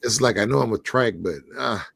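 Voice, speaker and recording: A man's voice: medium pitch, fairly quick, creaky, a bit bright, slightly rough, dry recording, studio quality. A man hosting a casual community talk show, congested and losing his voice, laid-back and laughing easily. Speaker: show host. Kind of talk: casual chat.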